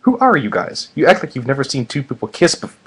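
A man's voice: mid-range voice, very animated, quick pace, fairly bright tone, breathy edge, full character voice. A man voicing a whiny, pushy, impatient cartoon raccoon slacker in a fan fiction reading. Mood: indignant. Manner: whining, impatient.

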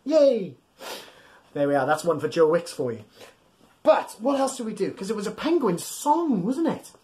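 A man's voice: sits high, normal speed, slight background noise. A man in his thirties hosting an interactive picture-book read-aloud, playful and exaggerated, talking straight to young listeners and encouraging them. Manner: animated, physically acting along. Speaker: children's storytime host. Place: small indoor room at home.

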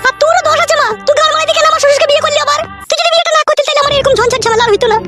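A self-pitying young cartoon wife, forever complaining in rustic slang. Her voice is artificially pushed extremely high and rattles along very fast, over clearly audible music. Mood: accusing. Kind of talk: comic cartoon dialogue.

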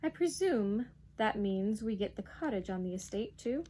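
A woman's voice: a little high, lively intonation, a little fast, a little hiss or hum. A woman voicing a practical, folksy Yorkshire housewife who bargains sharply in a storybook reading. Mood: self-satisfied.

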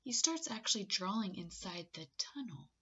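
A woman in her thirties reads a picture book aloud like a teacher, expressively, with dramatic pauses and questions to young listeners. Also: medium pitch, sing-song, bright, forceful tone, slightly rough. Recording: slightly dull webcam audio.

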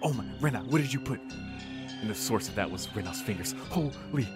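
A man's voice: medium pitch, expressive, brisk, loud music behind the voice. A man streaming a visual novel, reading the narration dramatically and breaking into casual, sweary reactions.

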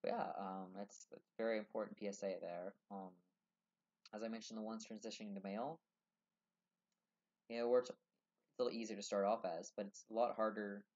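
A man's voice: lowish voice, fairly even intonation, a little fast, long pauses, darkish tone. A young man vlogging to camera from a small room, talking earnestly and rambling with many fillers. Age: young adult. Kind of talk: casual talk to camera. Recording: dull lo-fi webcam audio.

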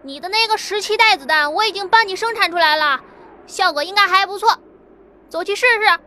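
A woman voicing a brisk, blunt young man in an audio drama. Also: extremely high pitch, bright, forceful tone. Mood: rousing.

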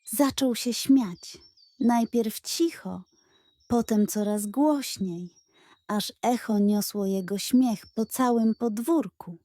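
A woman narrating a scripted children's tale in an expressive, unhurried storybook manner. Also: on the high side, no echo.

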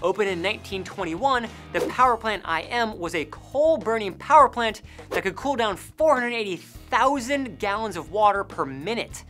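A young man with an American accent presenting a scripted list narration in an emphatic, animated way. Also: high voice, normal speed. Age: young adult. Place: indoor studio. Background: faint background music.

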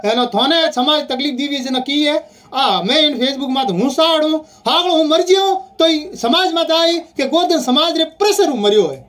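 High voice, Rajasthani accent, heated phone argument, insistent, repeats points, recorded call. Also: animated, moderate pace, few pauses, fairly bright tone. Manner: insistent, repetitive.

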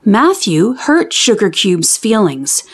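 A woman hosting a children's read-aloud, reading a storybook expressively with deliberate, carefully enunciated teaching delivery. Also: medium pitch, sing-song.

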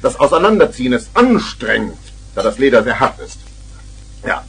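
An eccentric, boastful uncle in a 1970s children's radio play. His medium-pitched voice is very hoarse-edged and husky, and it leaps about animatedly as he rambles through tall tales with theatrical excitement. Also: moderate pace, full character voice, low-quality recording. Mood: excited storytelling.

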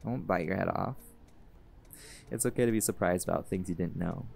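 A man's voice: sits low, a little fast, creaky, a little hiss or hum. A man playing a chill, flirty jaguar shifter. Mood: teasing. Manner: relaxed, teasing, blunt and flirtatious. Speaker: audio roleplay character. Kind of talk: audio roleplay acting. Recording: music playing behind.

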